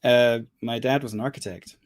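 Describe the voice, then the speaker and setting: A man's voice: medium pitch, fairly even intonation, normal speed, dry recording. A man in his forties who grew up in the Middle East, answering questions with easygoing dry humor. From Middle East, Scottish parents. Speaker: guest. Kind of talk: casual interview chat.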